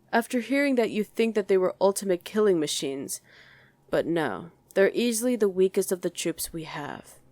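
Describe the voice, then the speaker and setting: Woman playing a snarky demon commander, lower-pitched, dry sound. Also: a little mellow, no echo, lightly acted. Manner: sardonic, chatty, conversational. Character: snarky, condescending female demon commander, casually chatty. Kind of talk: ASMR roleplay acting addressed to the listener.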